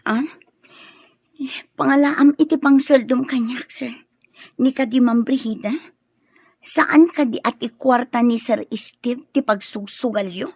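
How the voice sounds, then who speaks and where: An actress playing a blunt household helper with a skeptical, questioning manner in an audio drama. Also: female voice, a little high, measured pace, pauses often, darkish tone, husky, dry recording. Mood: wary.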